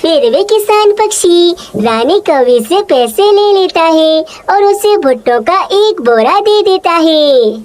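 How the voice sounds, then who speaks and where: Female storyteller, extremely high childlike pitch, scripted kids' story narration. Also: expressive, slow, hardly any pauses, a little mellow, slight background noise.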